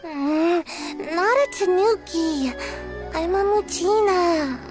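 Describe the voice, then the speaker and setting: A drowsy young ninja girl from a video game. Her small, high voice crawls along extremely slowly, as if she needs a nap. Music sits behind her. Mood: drowsy, tired. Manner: drowsy, drawn-out, sighing. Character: sleepy, lazy little girl ninja. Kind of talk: video game character acting.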